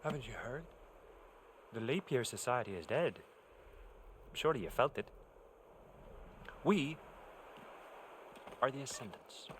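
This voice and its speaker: A man's voice: medium pitch, expressive, unhurried, long pauses, fairly bright tone, slight background noise. A man playing a zealous, taunting villain leader in a cinematic video game, with a theatrical, smug delivery. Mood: smug, triumphant.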